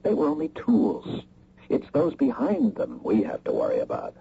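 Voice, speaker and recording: Gravelly, wry, guarded agent. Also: male voice, on the high side, huge pitch swings, moderate pace, heavily acted. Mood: guarded, dismissive. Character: guarded government lawyer and secret agent, calm and wry. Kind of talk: radio mystery drama acting. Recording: muffled, noisy old radio broadcast.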